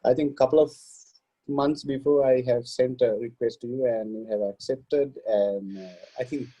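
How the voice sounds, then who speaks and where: A man from Sri Lanka talks as a podcast guest over a video call, hesitating often and filling gaps with "uh". His voice is very dark and mellow with a husky grain. The line sounds dull and lo-fi. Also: mid-range voice, fairly even intonation, normal speed. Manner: hesitant, many "uh" fillers. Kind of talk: casual video-call interview.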